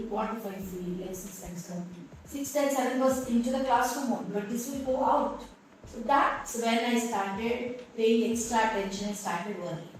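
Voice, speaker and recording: A woman's voice: medium pitch, a little mellow, distant mic, slight background noise. A woman school principal with an Indian English accent, candidly recounting her school years in an interview. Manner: reflective, candid storytelling. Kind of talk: interview, personal story. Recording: echoey room, voice from the mic.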